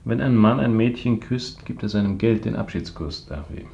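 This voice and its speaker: Curt business magnate in old radio drama, low dry mellow voice, bit muffled. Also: male voice, normal speed, creaky, slight background noise. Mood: dry, teasing. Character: male business magnate, curt, suave, teasing and calculating. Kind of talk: 1970s German radio drama acting. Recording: dull, band-limited 1970s radio recording.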